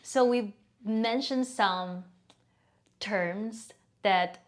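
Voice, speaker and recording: Female podcast host, mid-pitched, eager and chatty. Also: extremely slow. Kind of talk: casual interview hosting.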